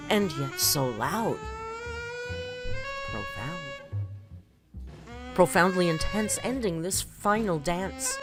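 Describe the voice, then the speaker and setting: A woman giving a dramatic poetry reading and commentary, with a theatrical, deliberate, grandiose delivery. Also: medium pitch. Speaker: host reading and critiquing a poem. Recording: music under the voice. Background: background music.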